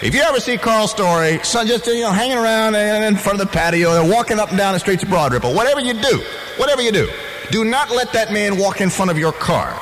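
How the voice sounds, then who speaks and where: Fast, high-voiced jokey radio DJ man. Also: expressive, a bit bright, poor audio. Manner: loose, rambling, joking with "you know" fillers. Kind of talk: fast, wisecracking DJ patter. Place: 1970s FM radio studio.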